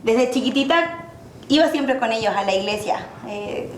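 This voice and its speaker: A young woman with a Chilean accent, a podcast guest who speaks softly and a little hesitantly in casual conversation. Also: a little high, expressive, moderate pace. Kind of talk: casual interview chat. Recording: echoey room with noise.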